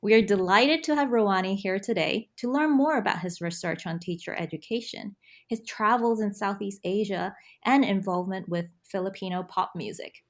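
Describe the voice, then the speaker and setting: A woman's voice: medium pitch, moderate pace. A woman hosting an academic podcast, introducing her guest and asking friendly, encouraging questions. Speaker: podcast host. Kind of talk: scripted intro then interview questions.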